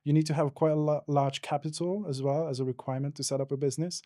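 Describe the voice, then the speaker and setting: A man with a Hungarian accent giving casual, explanatory answers as an interview guest, somewhat halting in his delivery. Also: a little high, steady tone, mellow, no echo, studio quality. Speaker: interview guest, digital marketing professional.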